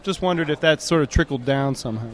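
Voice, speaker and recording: Teasing, rambling male interviewer, higher voice. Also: fast talker. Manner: teasing, rambling, many fillers. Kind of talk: casual interview.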